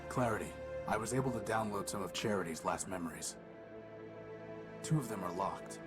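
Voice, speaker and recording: An actor playing a weary, stoic male android in a video game, speaking in a subdued, measured way. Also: fairly low pitch, loud music behind the voice, close to an everyday voice. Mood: somber.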